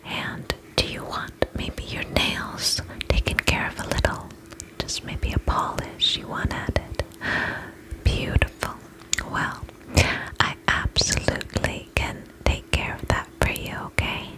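A woman in her twenties doing a soothing, attentive ASMR roleplay as a spa attendant, whispering softly close to the microphone. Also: slow, low-quality recording. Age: 20s. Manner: soft, soothing, attentive customer-service patter. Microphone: close microphone, face near camera.

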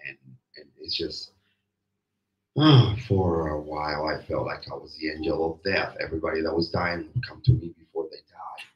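A middle-aged man with a deep, strained voice gives halting personal testimony in a group discussion, repeating phrases for emphasis into a handheld microphone. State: voice strained from yesterday's screaming. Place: small indoor meeting room. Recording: roomy, dull handheld-mic sound.

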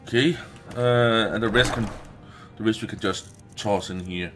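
A man's voice: lowish voice, normal speed, over music. A man giving casual let's play commentary as host, informal and sweary, thinking aloud. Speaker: gaming video host.

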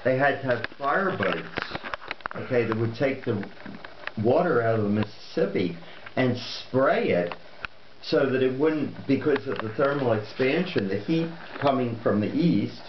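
A man's voice: mid-range voice, lively intonation, unhurried, background noise. An older man at a family dinner table, telling a rambling story full of okays and restarts. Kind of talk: casual chat, storytelling. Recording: lo-fi echoey room.

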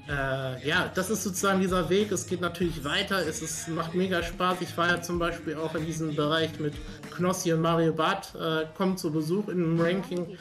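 A young man chatting casually on a livestream as a sports streamer, in an earnest, halting way. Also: a little high, a bit bright. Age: young adult. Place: small home studio room, on a video call.

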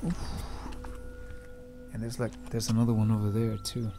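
A man's voice: fairly low pitch, little pitch movement, moderate pace, music in the background. Young man vlogging outdoors, thinking aloud. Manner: thinking aloud, talking straight to viewers. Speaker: urban explorer vlogger. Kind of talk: casual vlog narration while exploring. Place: outdoors on open hillside with brush. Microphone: handheld camera at arm's length.

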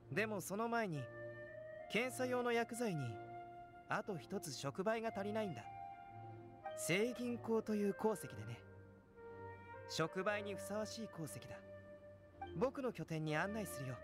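A man playing a calm, courteous young alchemist in an anime game, speaking in a measured, gentle, analytical way. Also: high-pitched. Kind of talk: scripted anime game dialogue. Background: game music.

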